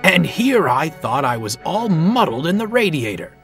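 A man voicing a gentle, insecure and polite diesel engine in a children's story. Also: on the high side, very animated, normal speed, soft background music, pitched above the performer's own voice. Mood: hopeful, relieved.

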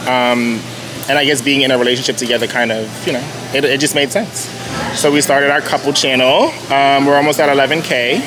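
A man's voice: medium pitch, steady tone, brisk, background noise. A man vlogging on a handheld camera while waiting in a store line, chatting casually and laughing easily. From born in the Bahamas, raised in New York. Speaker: vlogger, co-host of a couple channel. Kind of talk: casual chat while filming.

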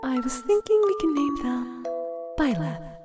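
A woman's voice: high voice, animated, measured pace. A woman playing a sweet, joyful and loving young bride in a dubbed comic drama. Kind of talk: comic dub voice acting. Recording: distant voice under loud music.

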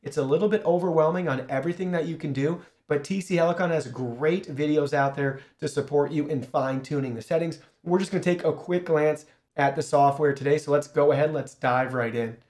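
Higher-pitched man presenting a tech tutorial. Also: fairly quick, a little mellow. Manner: upbeat, explanatory, addresses viewers directly. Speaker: product reviewer and host. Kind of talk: casual product overview and software tutorial. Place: small indoor studio. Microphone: shotgun studio microphone through an audio mixer.

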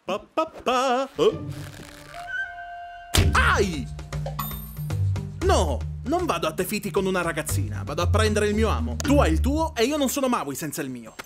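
Cocky cartoon demigod dude, super theatrical and animated, easily annoyed, music behind. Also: male voice, high-pitched, normal speed, pauses often. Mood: smug, gleeful. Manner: swaggering, theatrical. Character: big, cocky, boastful demigod man, easily exasperated. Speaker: fan dubber. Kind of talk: animated film dubbing.

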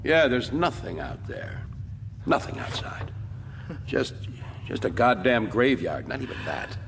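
A man's voice: mid-range voice, sing-song, unhurried, vocal fry, noisy background. An actor playing a cynical, irritable survivor in a radio drama, sarcastic and bitter in his delivery. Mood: bitter, sardonic.

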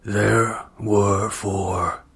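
A man voicing a grave, solemn stranger, a ghost of the old West, speaking slowly and deliberately in a storybook reading. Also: lowish voice, little pitch movement, vocal fry, fairly bright tone, slightly rough, heavily acted.

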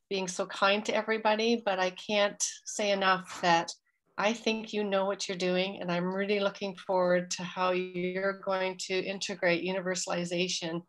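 A woman's voice: mid-range voice, low-quality recording. A middle-aged woman giving a short, encouraging address to students over a video call. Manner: warm, encouraging, unscripted. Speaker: guest speaker. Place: indoor room, video call.

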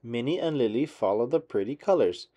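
A man narrating a picture book for young children in a measured, expressive read-aloud manner. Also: mid-range voice, dull audio.

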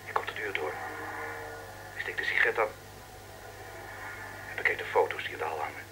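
An actor playing an eager, excitable field reporter in a radio drama, confiding down a telephone line. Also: male voice, extremely low pitch, brisk, noisy background.

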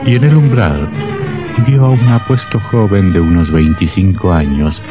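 A man narrating an old radio drama in a dramatic, measured scripted style, on a dull old recording. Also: low voice, over music.

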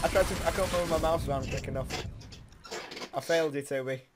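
A young British man giving casual, excitable, joking gameplay commentary as the host of a gaming video. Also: on the high side, a little fast, crowd noise. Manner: excitable, jokey banter. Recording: home recording with clear background noise.

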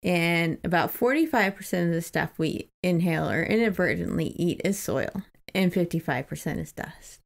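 Gravelly-edged woman podcast co-host. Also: on the low side, animated, moderate pace. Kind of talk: casual book discussion podcast chat.

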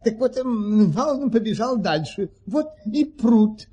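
An actor playing a forgetful, fawning marquis who tells stories in a dithering, stammering, effusive theatrical manner. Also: male voice, high-pitched, expressive, measured pace, mellow, breathy edge, lo-fi sound, full character voice. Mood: excited, dithering. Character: flustered, forgetful, fawning marquis, an eager storyteller. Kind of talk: theatrical radio play acting.

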